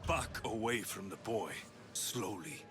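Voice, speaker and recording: Terse, stoic mercenary hero. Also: male voice, fairly low pitch, expressive, takes it slowly, over music. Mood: quiet warning. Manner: terse, direct. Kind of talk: video game cutscene acting.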